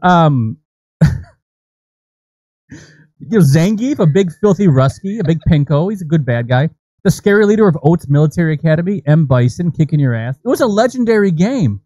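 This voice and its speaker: A man's voice: fairly high pitch, animated, moderate pace, dark tone, dry recording. An American man in his thirties co-hosting a podcast, giving crude, opinionated comic commentary with swearing, close on the mic. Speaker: podcast co-host. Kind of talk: comedic ranting movie commentary. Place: small indoor room set up as a podcast studio. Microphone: close podcast microphone.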